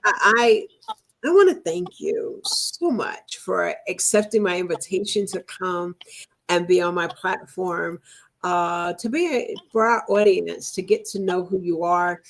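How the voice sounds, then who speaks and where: A woman's voice: lowish voice, unhurried, gravelly. A woman hosting a live book talk show, effusive and thankful, with frequent fillers. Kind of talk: casual book talk interview.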